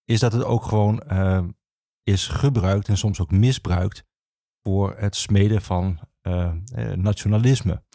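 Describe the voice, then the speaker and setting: Low, gravelly man explaining. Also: fairly even intonation, dark tone, dry recording, clean studio sound. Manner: halting, hesitant phrasing with self-corrections. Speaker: archivist, podcast guest. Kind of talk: explanatory interview talk on history.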